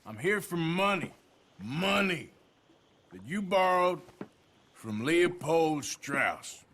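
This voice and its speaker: A laconic, dry outlaw. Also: male voice, expressive, slow pace, heavily acted. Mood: menacing. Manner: laconic, dry, often drops low and quiet. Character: weary dry-witted male outlaw. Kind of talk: video game cutscene acting.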